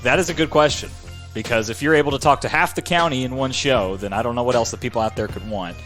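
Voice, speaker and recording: A man's voice: medium pitch, quick pace, fairly bright tone, soft background music. A man hosting a sports podcast in an upbeat, chatty presenting style.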